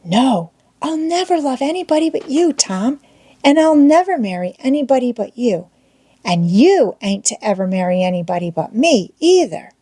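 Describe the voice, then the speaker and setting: A woman's voice: high voice, sing-song, normal speed, warm tone, husky, pitched above the performer's own voice, exaggerated voice. A woman voicing a shy, sweet, hesitant little schoolgirl in an audiobook, timid and childlike. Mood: shyly fond. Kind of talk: audiobook character voice.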